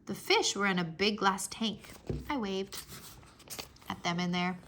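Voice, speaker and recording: A woman reading a children's storybook aloud as narrator, expressively, with animated, childlike first-person storytelling. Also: mid-range voice, measured pace, slight background noise.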